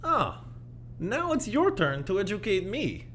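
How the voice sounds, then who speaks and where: A man's voice: sits high, huge pitch swings, a little slow, fairly bright tone, exaggerated voice. A man playing a genial little old man who loves the paranormal, speaking in an erudite, musing, theatrical way in an audio drama. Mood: eager, playful. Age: older.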